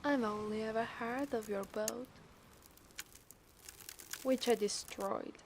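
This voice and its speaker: Over faint ambient noise comes a woman acting as a possessive, lovestruck mermaid with an Italian accent. Her voice is fairly high and breathy, often slipping toward a whisper. She speaks very slowly and teasingly, in an intimate ASMR roleplay style. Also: fairly even intonation. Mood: playful, curious. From Italy. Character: obsessive, possessive, seductive yandere mermaid.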